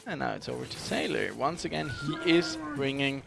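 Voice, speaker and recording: A man's voice: medium pitch, big pitch swings, brisk, gravelly. A man commentating an esports game in a casual, conversational way with frequent hesitations. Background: background music.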